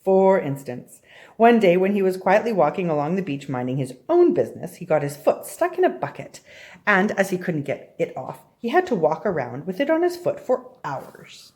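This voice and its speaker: A woman's voice: lowish voice, very animated, moderate pace, a little mellow. A woman reading a children's story aloud expressively, often turning to address young listeners directly with questions. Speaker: storybook reader.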